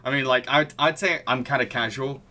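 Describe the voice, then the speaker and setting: A podcast host talking in a casual, enthusiastic and expressive way. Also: medium pitch, brisk.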